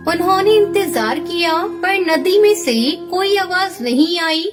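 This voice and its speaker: A woman's voice: very high-pitched, animated, measured pace. A woman narrating a scripted children's story. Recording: music bed under the voice.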